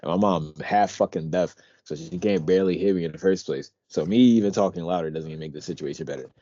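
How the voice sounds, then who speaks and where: Young male podcast co-host: very low, dark, mumbly voice through his teeth, dry, dull lo-fi mic. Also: fast, no room sound. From Pennsylvania. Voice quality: deep, quiet, talks through his teeth. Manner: relaxed, rambling, swears casually. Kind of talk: casual chat.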